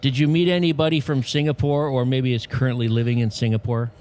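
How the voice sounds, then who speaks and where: An upbeat man co-hosts a casual podcast, joking with his guest. His pitch sits in an everyday middle range. He talks over a remote call mic. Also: little pitch movement, fast talker, darkish tone. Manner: upbeat, teasing. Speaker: podcast co-host.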